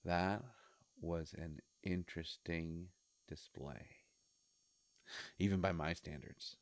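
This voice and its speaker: A man playing a sly devilish shopkeeper in a roleplay audio, coaxing and musing with hesitant pauses. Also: low-pitched, steady tone, no room sound. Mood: wry, coaxing. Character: sly devilish male shopkeeper of a wish-granting store. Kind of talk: scripted roleplay audio monologue.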